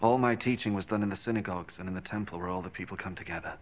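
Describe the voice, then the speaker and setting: An actor playing a calm, resolute holy teacher in a biblical film, speaking firmly and earnestly. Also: male voice, low voice, rapid-fire, slight background noise, natural voice. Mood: earnest, challenging. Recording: film scene with music over it.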